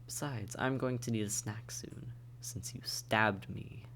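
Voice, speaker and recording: A man playing a taunting, predatory vampire in a roleplay audio drama, with mock-tender menace and breathy, coaxing speech. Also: on the low side, unhurried, fairly bright tone. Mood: menacing, hypnotic. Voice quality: breathy, often whispered, creaky. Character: silky, taunting, predatory vampire toying with captive hunter. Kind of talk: immersive roleplay audio drama, often whispered.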